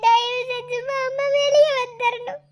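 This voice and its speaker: An extremely high, tearful young sister, played by a woman in a family melodrama. She pleads in drawn-out phrases, weighed down by guilt and distress. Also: steady tone, fairly bright tone. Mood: desperate guilt. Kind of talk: melodramatic family drama acting.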